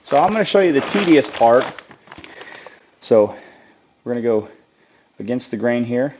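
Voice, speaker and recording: A man with a raspy voice from a cold, casually explaining a do-it-yourself build while he works on it. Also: medium pitch, moderate pace, slight background noise, poor audio. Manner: plain-spoken, relaxed, thinks aloud with restarts. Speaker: lawn care business owner demonstrating a DIY build. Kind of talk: casual how-to narration while working.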